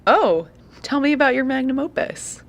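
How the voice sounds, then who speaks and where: A woman's voice: high voice, expressive, normal speed, vocal fry. An actress playing a polite, uneasy young woman doing interviews, hesitant and tentative, in a naturalistic audio drama. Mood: uneasy, curious.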